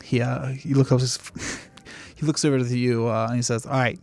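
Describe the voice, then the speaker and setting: A man's voice: medium pitch, level tone, normal speed, gravelly, darkish tone. A man acting as game master, improvising narration for a tabletop game in a conversational way.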